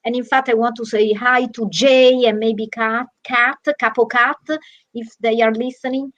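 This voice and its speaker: A woman's voice: on the high side, moderate pace, muffled recording. A woman with an Italian accent, artistic director of a theatre troupe, chatting animatedly on a video call with many restarts. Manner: lively, animated, frequent restarts. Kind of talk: casual video-call chat.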